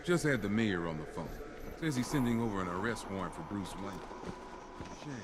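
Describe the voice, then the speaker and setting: An actor playing a dry, sardonic police officer in a video game. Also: male voice, lowish voice, expressive, brisk, heavy background noise, lightly acted. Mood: wry.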